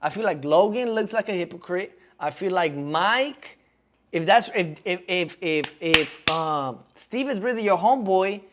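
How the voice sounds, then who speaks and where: A man's voice: sits high, lively intonation, unhurried, a bit bright, a little hiss or hum. A man in his twenties rambling in slangy casual commentary as he reacts on stream, constantly checking in with his chat. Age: 20s. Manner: slangy and rambling, keeps asking the chat "you feel me". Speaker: streamer reacting to a podcast clip.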